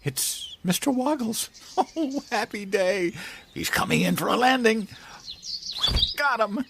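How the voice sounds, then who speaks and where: An actor playing a childish, sentimental American president in a comedic audio drama, with hesitant, wounded earnestness. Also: male voice, high voice, lively intonation, slow, fairly bright tone, slight background noise, heavily acted. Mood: overjoyed. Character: childish, sentimental male US president attached to his teddy.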